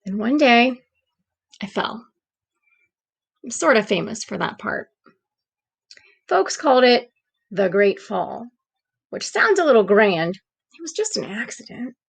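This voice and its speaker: Woman reading as a slow, gentle storybook egg. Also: a little high, gravelly, husky, full character voice. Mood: wistful. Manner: deliberate, expressive, with dramatic pauses. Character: gentle, anxious, persevering male egg storybook narrator. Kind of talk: picture book read-aloud.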